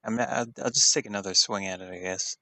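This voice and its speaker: A man with a lowish voice takes part in a tabletop game as a player. He talks casually about his moves, and the sound is dry with hardly any room echo. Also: steady tone, quick pace, pushed and bright.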